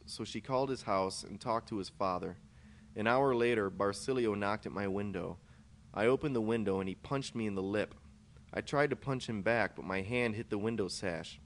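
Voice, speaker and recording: A man reading his own first-person short story aloud as scripted narration. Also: fairly low pitch. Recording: clear background noise, amateur podcast recording.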